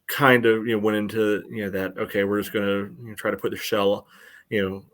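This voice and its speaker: A man co-hosting a sports podcast, giving casual analysis in a halting, rambling way padded with filler phrases. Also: on the low side, little pitch movement, fairly bright tone. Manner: halting, rambling, very frequent "you know". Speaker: podcast co-host.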